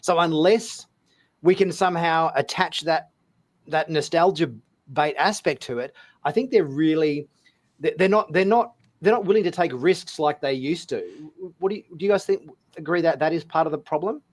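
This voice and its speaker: A man's voice: on the high side, normal speed. A man hosting a casual panel discussion, speaking hesitantly and often repeating words and phrases as he goes. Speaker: host of a fan talk show.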